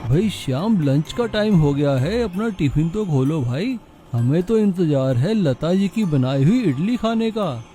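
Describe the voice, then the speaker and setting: A man's voice: a little high, expressive, a little slow, mellow, a little hiss or hum. A man voicing a hearty, kindly factory manager in a cartoon story, jovial yet formal.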